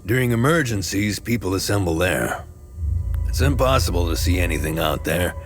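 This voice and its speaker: A man voicing a wary, haunted town mechanic in a dramatic video game, blunt and halting in delivery. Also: low-pitched, expressive, soft background music. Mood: helpful, weary. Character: wary, haunted male town mechanic in a war-torn land. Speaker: town mechanic and scrapyard owner.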